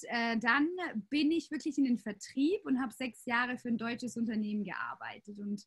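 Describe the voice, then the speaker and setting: A woman in her late twenties from Austria, a yoga teacher telling her story in a casual interview with many fillers. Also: sits high. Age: 20s.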